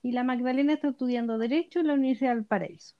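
A woman in her forties with a slightly high voice co-hosts a relaxed podcast. She explains her points thoughtfully, often restarting her sentences. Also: rapid-fire, dull audio, a loud crowd. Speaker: podcast co-host. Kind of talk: casual podcast chat.

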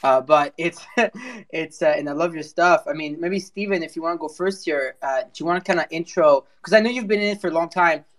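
A man's voice: a little high, fairly quick, fairly bright tone. A man hosting a casual live voice chat, talking in an informal, rambling way and cutting into his own sentences. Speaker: host.

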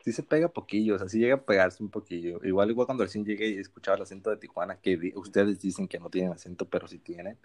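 Low-voiced Sinaloan man, casual podcast. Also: fast, few pauses. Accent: Sinaloan Mexican Spanish. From Sinaloa, Mexico. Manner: relaxed storytelling, frequent slang fillers. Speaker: podcast co-host. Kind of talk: casual chat.

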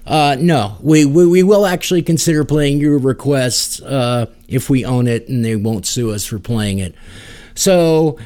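A man's voice: mid-range voice, a little slow, a little mellow. A man hosting a music podcast with casual chat and jokey, dry banter. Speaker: podcast host.

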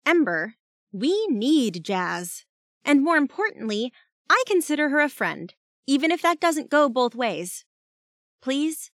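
A woman's voice: on the high side, sing-song, unhurried, no echo, studio quality, voice pushed up. A woman voicing an earnest, exasperated female spellcaster in an audiobook, pleading and defensive.

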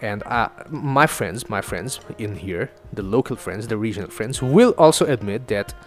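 A man's voice: on the low side, lively intonation, normal speed, faint music behind. A young man from Northeast India whose first language is Assamese, hosting his own podcast in a chatty, loose monologue full of swearing and self-mocking asides. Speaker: podcast host. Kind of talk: casual solo podcast monologue. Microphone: home studio mic.

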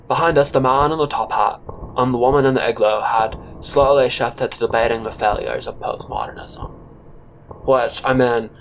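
A man with a medium-pitched voice narrates an audio drama. His delivery is scripted, first-person and wry, like telling a strange tale. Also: a little hiss or hum, rough recording.

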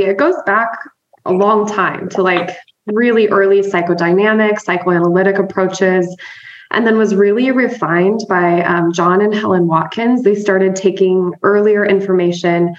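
A woman's voice: mid-range voice, moderate pace. A woman therapist and trainer giving an upbeat, conversational explanation as an interview guest, laughing often and checking in with "right?". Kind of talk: casual explanatory interview talk. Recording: roomy, slightly dull remote audio.

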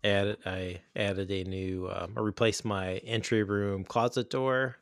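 A man on a casual podcast chat, laid-back and trailing off quietly at the ends of his phrases. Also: on the low side, fairly even intonation, a little slow, dry recording, studio quality. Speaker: podcast co-host.

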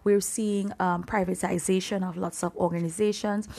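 A woman's voice: medium pitch, fairly even intonation, moderate pace, gravelly, warm tone. A woman with a Trinidadian accent giving an informal Bible teaching in an earnest, emphatic, exhorting manner. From Trinidad and Tobago. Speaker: preacher, podcast host.

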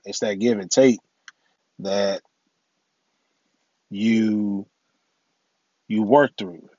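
A middle-aged man hosting his own podcast in a casual, rambling way, full of "you know" and "man", his voice a little raspy from allergies. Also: on the low side, fairly even intonation, extremely slow, mellow, highs cut off, slight background noise. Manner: laid-back, rambling, many "you know" and "man" fillers. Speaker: podcast host. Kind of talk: casual solo podcast talk.

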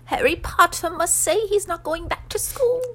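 A woman's voice: very high-pitched, animated, moderate pace, pushed and bright, voice pushed up, full character voice. A woman voicing a small, anxious male house-elf servant who pleads servilely and speaks of himself in the third person in a storybook reading. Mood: desperate, insistent. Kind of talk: storybook character voice.

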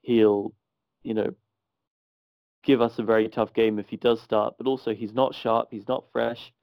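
Low, flat-voiced Irish man. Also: moderate pace, creaky, dry recording, highs cut off. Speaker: podcast panelist. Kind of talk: casual football chat.

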